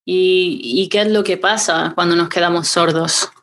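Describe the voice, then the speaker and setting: Curious woman interviewer, lowish voice. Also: fairly even intonation. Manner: curious, quick back-channel agreement. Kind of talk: conversational interview questions.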